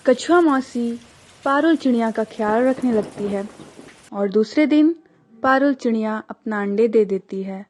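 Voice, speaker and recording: A woman narrates a children's tale in a fairly high voice. She takes her time, with very slow, scripted storytelling delivery. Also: darkish tone.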